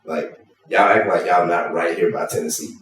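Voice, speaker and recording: Podcast host, man, low voice, playful teasing, roomy distant sound. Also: steady tone, normal speed, fairly bright tone, a little hiss or hum. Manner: teasing, playful, laughs easily. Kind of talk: casual chat.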